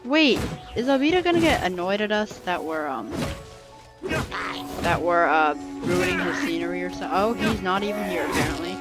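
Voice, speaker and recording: Low-pitched young woman playfully commentating on gameplay. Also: expressive, normal speed. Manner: playful, teasing asides. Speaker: gaming streamer. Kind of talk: casual reactive gameplay commentary. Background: game music.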